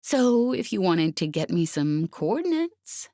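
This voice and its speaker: A woman's voice: lowish voice, big pitch swings, measured pace, dark tone, dry recording, voice pushed up. A brash, cheerful woman pilot from a space opera audiobook, acted out by a female reader. She drawls her taunts with cocky confidence, in a dry, close recording. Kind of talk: audiobook character acting.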